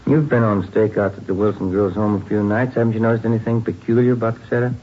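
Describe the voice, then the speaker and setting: A man's voice: lowish voice, steady tone, fast talker, close to an everyday voice. A man playing a sober, probing police detective in a radio drama, serious and matter-of-fact. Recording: muffled, noisy old radio recording.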